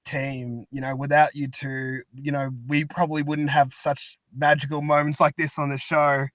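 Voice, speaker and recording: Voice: medium pitch, level tone, normal speed, a bit bright, no room sound. A podcast host chatting casually in an effusive, sentimental way. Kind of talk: casual chat.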